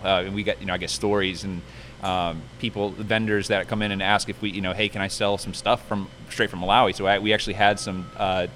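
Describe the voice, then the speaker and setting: A man with a fairly low voice talks quickly and chattily, like a restaurant manager in a casual interview. His delivery rambles along in a promotional way, recorded inside a restaurant with faint background noise. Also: a bit bright.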